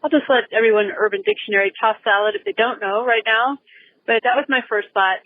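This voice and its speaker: A woman's voice: on the high side, fast talker, narrow, tinny audio. A woman caller leaving a casual voicemail over the phone. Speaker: podcast caller.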